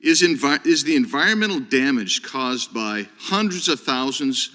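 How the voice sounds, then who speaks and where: A man, a congressman at a hearing, asking a deliberate, legalistic question of a witness. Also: medium pitch, animated, sharp tone. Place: large hearing room. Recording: long hall reverb.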